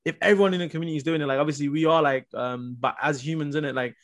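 Very fast-talking young man. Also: on the high side, steady tone. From Nepal. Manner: informal, slangy, heavy use of "like". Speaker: podcast panelist. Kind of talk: casual group discussion.

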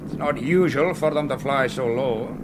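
An actor playing an older, hospitable but probing Scottish island minister in a radio drama, speaking with courteous hesitations. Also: male voice, mid-range voice, normal speed, very noisy, natural voice. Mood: probing, genial.

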